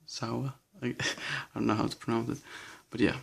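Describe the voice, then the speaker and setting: Halting young Danish man, gravelly. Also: medium pitch, flat delivery. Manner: hesitant, halting listing with nervous laughs. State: nervous and shaky. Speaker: vlogger. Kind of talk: casual bookshelf tour.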